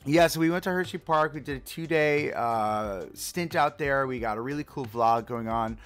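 A man with a voice gone a little raspy and hoarse talks casually over his game build, upbeat and rambling, full of fillers and restarts. His pitch sits in an ordinary middle range. Faint music plays behind him. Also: normal speed, a bit bright. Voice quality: slightly raspy. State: hoarse from screaming. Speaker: let's play host.

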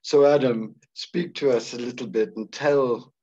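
A man's voice: mid-range voice, steady tone, measured pace, warm tone, highs cut off. A man hosting a conversation, introducing his guest in an effusive, emphatic way. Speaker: host. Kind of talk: interview introduction.

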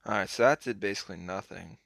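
A young man casually commenting on a mobile card game as he plays, hoarse and clearing his throat, his voice trailing off in a laid-back mumble. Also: lowish voice, fast talker, a bit bright, dry recording.

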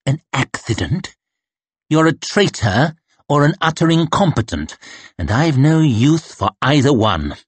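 A man voicing a bitter, crippled male torturer who talks in a low, quiet, cutting way. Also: very animated, unhurried, breathy edge, no room sound, heavily acted. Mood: menacing.

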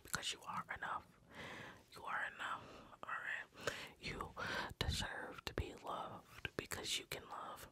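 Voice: a little slow, pushed and bright. An ASMR creator whispering guided breathing and affirmations, repeating each phrase in a reassuring way.

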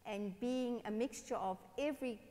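A woman from South Africa, a pastor telling personal stories in a lively sermon on a church stage. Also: fairly high pitch, moderate pace. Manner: animated, expressive, gestures while speaking. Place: large dark church stage. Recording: long church hall reverb.

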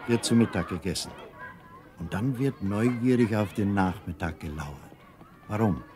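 A man narrating a children's story from a script, expressive and unhurried, with a husky voice. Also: fairly low pitch, slight background noise.

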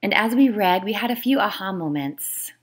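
A woman's voice: mid-range voice, expressive, moderate pace. A woman who is an author, reading her own book aloud as scripted narration.

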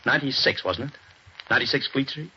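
An actor playing a restless diplomat husband in a 1940s radio drama, with lively, clipped, emphatic speech. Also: male voice, medium pitch, fast talker, slight background noise. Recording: muffled 1940s radio broadcast with music.

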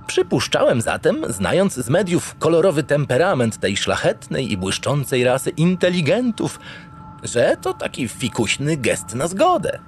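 An actor playing a pompous, naive spaceship captain, narrating a comic sci-fi radio play in a theatrical, grandiloquent, digressive way. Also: male voice, a little high, huge pitch swings, moderate pace, faint music behind. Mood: earnest, wondering. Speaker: lead actor in an audio drama.

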